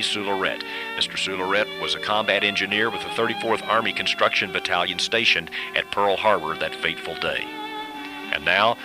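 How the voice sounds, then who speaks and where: A man giving scripted documentary radio narration in a measured, solemn delivery. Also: deep voice, rough recording. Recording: radio broadcast with music bed. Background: background music.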